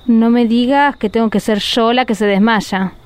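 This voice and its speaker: A very high yet mellow young woman's voice with an Argentine accent , acted by a woman. She is a fretful, doubting accomplice in a radio play. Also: steady tone, normal speed, clean tone, natural voice. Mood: doubtful. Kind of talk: radio drama acting.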